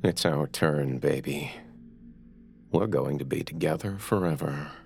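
A man playing a sadistic, possessive kidnapper and killer in a horror audiobook, speaking with menacing, mock-affectionate sweetness and a gravelly voice. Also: a bass voice, a little slow, darkish tone, faint music behind, heavily acted. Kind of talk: dramatic horror audiobook acting.

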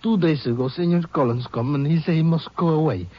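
An actor in a 1950s radio drama playing a stubborn, frightened Mexican courier with an accent and broken, evasive English. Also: male voice, on the high side, fairly quick, close to an everyday voice. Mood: alarmed, pleading. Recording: muffled old 1950s radio recording.

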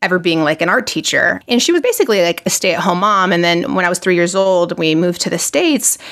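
A woman's voice: on the low side, expressive, a little fast, a bit bright. A woman from Argentina, a physician, talking casually in an interview with lots of "like" and "you know" and frequent restarts. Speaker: physician, podcast guest.

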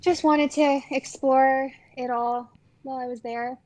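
A woman's voice: sits high, fairly even intonation, a little slow, a little mellow, low-quality recording. A woman in her twenties from the Los Angeles area, chatting casually with a friend, trailing off with fillers. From Los Angeles area, USA. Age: 20s. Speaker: podcast guest. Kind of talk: casual chat.

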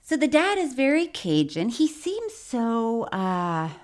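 A woman's voice: fairly high pitch, sing-song, takes it slowly, darkish tone. A woman hosting a comedy podcast, chatting casually in an amused, teasing way. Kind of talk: casual comedic chat about a documentary.

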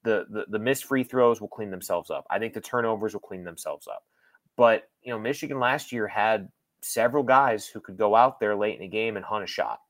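A man's voice: lowish voice, fairly quick, fairly bright tone, no echo. A man working as a sports broadcaster, giving conversational analysis as a guest, explaining and qualifying his points with emphasis.

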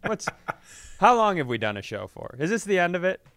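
A man with a very clear, smooth voice, a little high in pitch, recorded dry and close. He co-hosts a comedy podcast, telling stories in a halting, casual way with some swearing. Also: sing-song, fairly bright tone, no room sound. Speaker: podcast co-host.

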